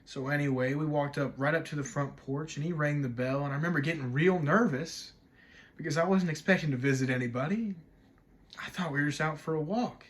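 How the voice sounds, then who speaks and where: A man performing a dramatic stage monologue as a wistful man recalling young love, telling it reflectively in short, measured phrases. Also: a little high, natural voice. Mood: wistful, hushed. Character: wistful man haunted by a childhood night of love.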